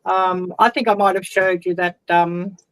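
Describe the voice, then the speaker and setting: A woman's voice: on the low side, steady tone, measured pace, dry recording, rough recording. A woman talking over a video call as a guest, hesitant and full of ums. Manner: hesitant, many ums, soft trailing. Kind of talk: casual video call chat.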